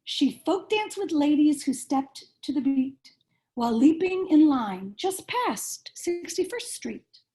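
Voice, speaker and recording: Woman, storybook read-aloud, expressive, mellow tone, unhurried pace. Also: high-pitched. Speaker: cantor reading a bedtime story.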